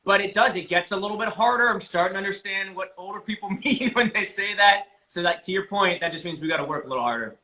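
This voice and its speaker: A man in his late twenties co-hosting a podcast, upbeat and steering the topics briskly. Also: high voice, sharp tone, low-quality recording. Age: 20s. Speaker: podcast co-host. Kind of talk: casual chat.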